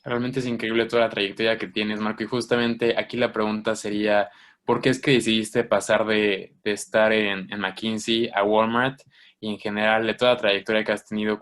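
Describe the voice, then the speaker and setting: Guy hosting a zoom interview, lowish flat voice, formal. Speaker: co-host, interviewer. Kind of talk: online interview.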